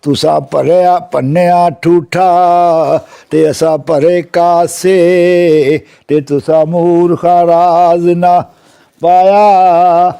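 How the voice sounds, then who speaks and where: A man's voice: high voice, level tone, gravelly, dark tone, smooth. A man with a Punjabi accent reciting a folk verse tale in a melodic chant, drawing out the ends of his lines. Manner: chanting, melodic, with long drawn-out line endings.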